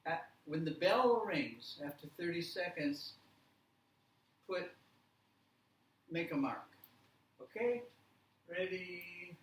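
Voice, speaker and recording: A man teaching a live workshop, giving deliberate, simple instructions with repetition and check questions. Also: high voice. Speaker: language teacher leading a workshop. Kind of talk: live classroom instruction with simple step-by-step directions. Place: indoor classroom or presentation room. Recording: echoey room, distant mic, faint noise.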